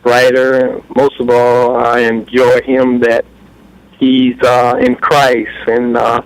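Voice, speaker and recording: A man's voice: medium pitch, completely flat delivery, slow pace, clean tone, no room sound, poor audio. A man calling in to a radio show, friendly and devout. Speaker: caller. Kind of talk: call-in comment.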